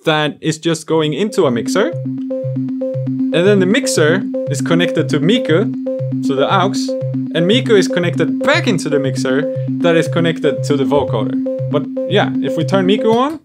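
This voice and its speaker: Male vlogger, thirties, higher voice, lively swooping delivery, enthusiastic and informal gear-demo chat. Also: normal speed. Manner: chatty, enthusiastic, animated. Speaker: music gear video creator, host. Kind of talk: casual demo vlog. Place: small home studio room full of instruments. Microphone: desk microphone on a boom arm. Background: synth music.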